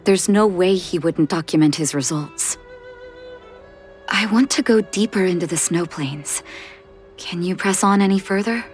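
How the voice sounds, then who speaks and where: A woman voicing a composed, determined doctor in a video game, speaking in a measured, deliberate way. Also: on the low side, a little mellow, faint music behind, lightly acted. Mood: thoughtful, determined. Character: composed, determined female doctor searching for her brother. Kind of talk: scripted video game voice acting.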